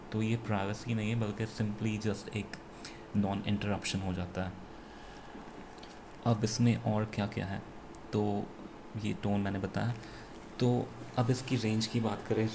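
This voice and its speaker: Indian guy doing a casual gadget review, gravelly voice, kinda flat delivery, throws in english bits, noisy audio. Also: on the low side. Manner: explanatory, mixes English phrases into speech. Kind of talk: casual, unscripted product review.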